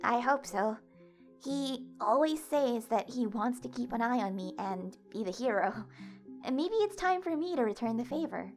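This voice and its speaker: Casting: actress as earnest young woman for audio drama, higher voice, nervous and hesitant, music underneath. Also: lively intonation. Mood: worried, guilty. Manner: halting, flustered, hesitant. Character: anxious young woman, tired, earnest gamer.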